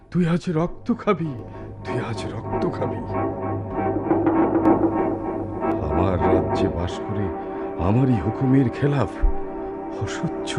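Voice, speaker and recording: An actor playing a haughty, tyrannical landlord king in a radio drama, declaiming melodramatically and repeating phrases. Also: medium pitch. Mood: bloodthirsty rage. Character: tyrannical landlord raja, haughty, raging, haunted by terror. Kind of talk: theatrical radio drama acting.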